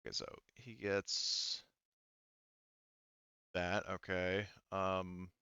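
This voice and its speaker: A man running an online tabletop roleplaying game as game master, talking haltingly as he thinks aloud through the rules. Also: low-pitched, little pitch movement, pushed and bright, clear, resonant tone, dry recording, lo-fi sound.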